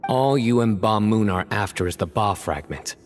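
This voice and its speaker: A man playing a stern young fantasy game hero, serious and measured in how he talks. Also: on the low side, slight background noise, lightly acted. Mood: grave, reasoning.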